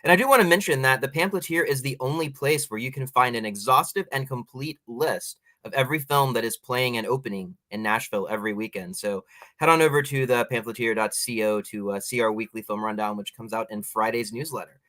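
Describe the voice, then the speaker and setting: A man's voice: mid-range voice, fairly quick, sharp tone, no echo. An American man hosting a conversational interview show, introducing topics and putting questions to guests.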